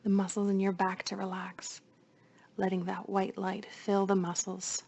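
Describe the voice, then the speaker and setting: A woman leading a guided meditation in a soothing, hushed voice, with phrases often trailing into breath. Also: medium pitch, monotone, measured pace, gravelly, breathy edge.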